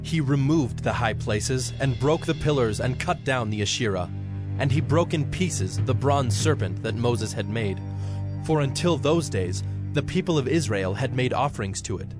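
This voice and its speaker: A man narrating scripture from a script in a solemn, measured manner. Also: medium pitch.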